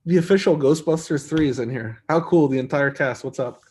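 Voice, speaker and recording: A man hosting a casual live stream, reading viewer comments and answering them in an easygoing, rambling way with a soft voice. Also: on the high side, a little fast. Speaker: arm wrestler hosting a live chat stream.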